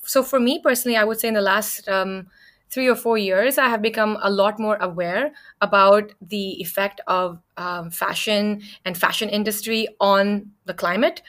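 A woman's voice: medium pitch, fairly even intonation, moderate pace, a bit bright. A woman who is a fashion influencer, answering an interview in a casual, conversational way.

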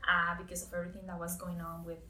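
A woman's voice: lowish voice, racing. Young woman, chatty storytelling, echoey room, distant mic. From Costa Rica. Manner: excited, chatty. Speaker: interview guest, first-time mother. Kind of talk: casual personal storytelling. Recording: roomy, distant mic, faint noise.

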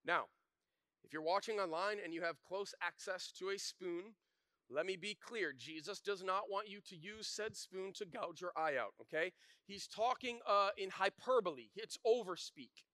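Male pastor, storytelling sermon, high bright voice, dry close sound. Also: normal speed, dry recording. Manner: animated and shouting in the story, then quiet and earnest. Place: church.

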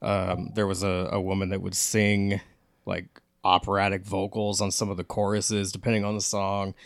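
A man's voice: deep voice, monotone, moderate pace, vocal fry, no echo, clean studio sound. An American man hosting a podcast, casually promoting events and chatting with guests. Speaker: podcast host.

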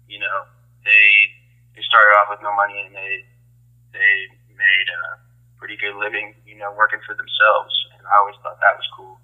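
A man talking casually and thoughtfully in an informal interview over a phone line, with some slang, as a music producer. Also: lowish voice. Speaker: recording engineer and music producer being interviewed. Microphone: phone call. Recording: tinny telephone line.

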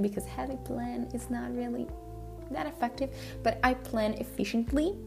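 A young woman talking casually about her language study goals, relaxed and chatty with frequent laughter and fillers. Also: a little high, vocal fry. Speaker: language learning podcaster.

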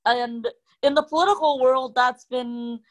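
A woman whose first language is Cantonese, chatting casually as a guest, speaking in a hesitant, halting way. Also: sits high, steady tone. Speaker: guest, channel creator. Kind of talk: casual conversation.

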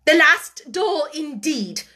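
A woman voicing a haughty, domineering schoolmistress in an audiobook, sharp-tempered, scolding and imperious. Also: high voice, very animated, slow, voice pushed up, exaggerated voice. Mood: outraged. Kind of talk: audiobook character acting.

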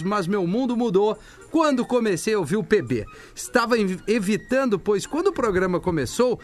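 Male radio host, high-pitched voice, Gaúcho accent from southern Brazil, casual on-air chat. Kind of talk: casual live radio chat and listener mail reading.

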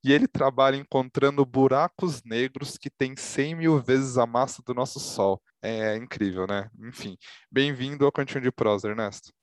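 A man's voice: mid-range voice, normal speed, no room sound. A man hosting a podcast, introducing guests and asking questions in a chatty, casual way with frequent fillers. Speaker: podcast host.

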